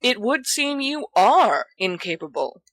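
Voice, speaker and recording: Reader doing a scornful bossy young woman, booming and mocking, sharp tongue, storybook, dry recording. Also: big pitch swings, measured pace, no room sound, heavily acted.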